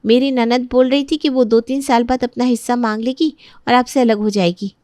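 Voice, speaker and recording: High-voiced resentful young daughter-in-law, acted by a woman. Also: fairly even intonation, fairly quick, dark tone, no echo, studio quality, close to an everyday voice. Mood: gossiping, aggrieved. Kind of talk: dramatized Hindi family story acting.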